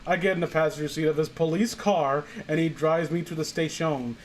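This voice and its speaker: A man's voice: on the high side, steady tone, fairly quick, slight background noise. A young man casually reading fan fiction aloud as a host, breaking off for sarcastic, sweary asides.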